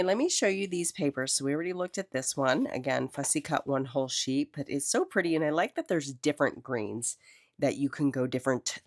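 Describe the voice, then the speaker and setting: Low-voiced chatty woman with a cold. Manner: chatty, enthusiastic. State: has a cold. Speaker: crafting video host. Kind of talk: casual craft tutorial.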